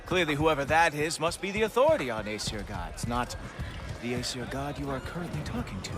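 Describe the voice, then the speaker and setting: An actor playing a smug, condescending Norse god guard in a video game, with a sarcastic, mocking, theatrical drawl. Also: male voice, fairly high pitch, animated, brisk, natural voice. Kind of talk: video game dramatic acting. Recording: loud music behind.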